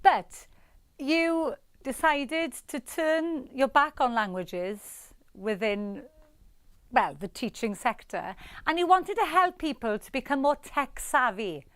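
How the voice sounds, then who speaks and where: A woman's voice: sits high, slow pace, smooth, dry recording, studio quality. A woman hosting an awards ceremony, bubbly and gushing as she interviews a winner.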